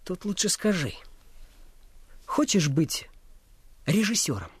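An actor in a radio play voicing a brisk, bossy schoolboy ringleader with a teasing, challenging manner. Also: medium pitch, big pitch swings, a little hiss or hum. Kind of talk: Russian radio play acting.

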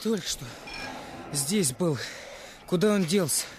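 An actor playing a spirited, insistent teenage boy in a radio play, with a husky voice and brisk colloquial talk. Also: medium pitch, expressive, slight background noise. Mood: puzzled, urgent.

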